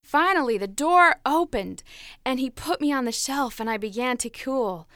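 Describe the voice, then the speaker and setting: A woman's voice: high-pitched, animated, normal speed, fairly bright tone, dry recording, clean studio sound. A woman voicing a fragile, dramatic talking teacup that pleads breathlessly as it tells its story.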